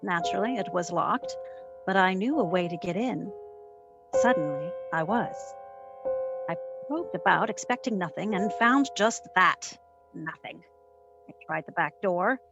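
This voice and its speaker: A woman playing a sassy, quick-witted private detective, narrating her case in old-time radio drama style. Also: lowish voice, lively intonation, creaky, lo-fi sound, music in the background. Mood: wry, suspenseful. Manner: wry, wisecracking.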